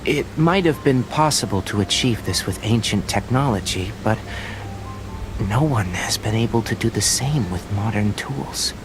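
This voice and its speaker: An actor playing a calm, earnest young researcher in a video game, explaining things in a measured, considerate way. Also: male voice, fairly low pitch, music in the background, poor audio.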